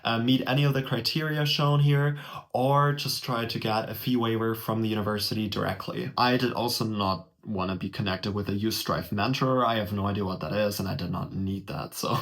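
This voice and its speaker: A man's voice: lowish voice, steady tone, brisk, fairly bright tone. Young German man giving a casual tutorial. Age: young adult. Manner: friendly, direct, speaks to the viewer. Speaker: vlogger explaining a college application. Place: small indoor room.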